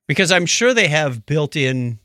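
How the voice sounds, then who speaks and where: A man's voice: on the high side, big pitch swings, moderate pace, pushed and bright, no room sound, clean studio sound. A man co-hosting a casual podcast about news stories, chatting in a joking manner.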